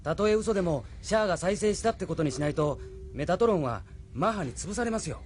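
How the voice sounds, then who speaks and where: An eager young crewman in a radio drama. His voice is high and lively, swinging widely in pitch, over faint vehicle noise. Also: quick pace. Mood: worried. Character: eager, worried young crewman under the captain. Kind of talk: Japanese audio drama acting.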